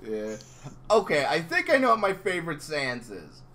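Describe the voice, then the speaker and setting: Animated, bright-voiced man chatting excitedly. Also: a little high, normal speed. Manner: excitable, exclamatory, swearing emphatically. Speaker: interviewer. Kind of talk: casual fan interview chat.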